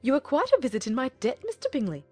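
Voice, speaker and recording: A woman's voice: high voice, huge pitch swings, fast, husky, soft background music, pitched above the performer's own voice, exaggerated voice. A woman voicing a fussy, self-important middle-aged mother in a period novel audiobook, effusive and exclaiming as she chatters on. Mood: officious, flattering.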